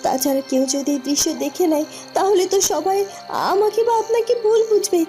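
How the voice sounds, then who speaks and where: Casting: actress for anxious, polite young cartoon heroine, very high soft-toned voice, plaintive delivery, music underneath. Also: female voice, lively intonation, a little fast. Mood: indignant. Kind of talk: Bengali fairy-tale cartoon dubbing. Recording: music behind the voice.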